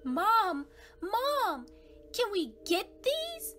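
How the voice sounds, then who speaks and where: A woman's voice: very high voice, very animated, very slow, pushed and bright, soft background music, pitched above the performer's own voice, exaggerated voice. A woman voicing an eager, defiant young boy rabbit in a storybook, pleading and emphatic.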